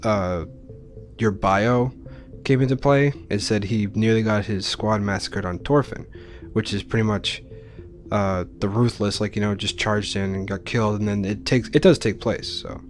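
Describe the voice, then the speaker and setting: Male gameplay host. Informal, explanatory commentary, fairly low voice, light music underneath. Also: fairly even intonation, normal speed. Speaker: Let's Play host.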